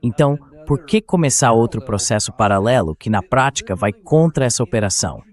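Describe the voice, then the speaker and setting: A man's voice: mid-range voice, lively intonation, brisk. A man with a Brazilian accent reading a translated voice-over of political news commentary as the show's host.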